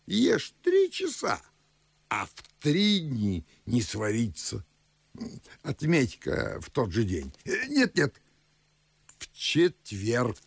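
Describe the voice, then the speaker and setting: Pompous, swooping old gentleman. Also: mid-range voice, huge pitch swings, creaky, slightly rough, exaggerated voice. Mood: pompous musing. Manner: declamatory, drawn-out, swooping delivery with sighs. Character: pompous, fussy, grumbling older Moscow father and official. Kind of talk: theatrical audio drama in verse.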